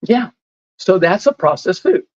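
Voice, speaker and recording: A man's voice: high-pitched, big pitch swings, unhurried, darkish tone, no echo, muffled recording. A man in a casual podcast conversation, explaining whole foods in a patient way and laughing often. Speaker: lifestyle medicine advocate.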